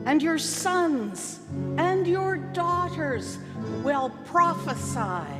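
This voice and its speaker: A woman giving a dramatic scripture reading at a church service, with theatrical, deliberate phrasing and long pauses. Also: high-pitched, animated. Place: large church. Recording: long church reverb, music playing behind. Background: music.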